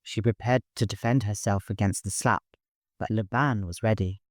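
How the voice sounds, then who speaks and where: A man's voice: on the low side, normal speed, no echo, clean studio sound. A man reading dramatic scripted fiction as an audiobook narrator.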